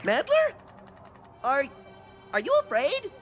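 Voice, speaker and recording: A man's voice: very high-pitched, wildly swooping pitch, slow, over music, heavily acted. A man voicing a dim-witted, eager young jungle man in a cartoon, who talks about himself in the third person. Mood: anxious.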